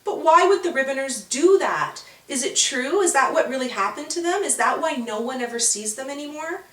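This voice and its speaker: A woman's voice: sits high, lively intonation, brisk, fairly bright tone, voice pushed up. A woman voicing an upset, doubting young girl in a storybook, plaintive and protesting. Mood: anxious questioning. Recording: roomy, distant from the mic.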